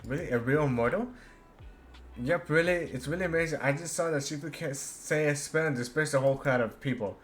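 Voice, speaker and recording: A man's voice: on the high side, fairly bright tone. Man streamer reading game dialogue aloud. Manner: halting read-aloud with stumbles.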